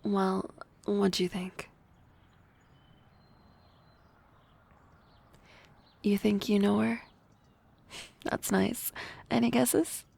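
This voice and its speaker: A woman voicing a young harpy classmate in love in an ASMR roleplay, soft and often whispering, hesitant and teasing. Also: medium pitch, fairly even intonation, slow pace, creaky, breathy edge, exaggerated voice. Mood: coy, nervous.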